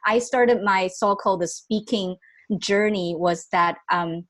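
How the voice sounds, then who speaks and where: A woman's voice: medium pitch, a little slow. A young woman from Taiwan whose first language is Chinese, chatting as a podcast guest with frequent laughs and restarts. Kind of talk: casual interview chat.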